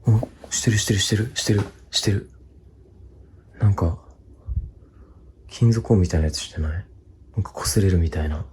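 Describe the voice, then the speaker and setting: A man hosting a ghost-hunting vlog, talking to camera by himself in short, halting fragments. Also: sits low, a little mellow, a little hiss or hum. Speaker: host of a ghost-hunting video series. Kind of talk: hushed solo vlog narration to camera. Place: indoors, hotel room. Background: music.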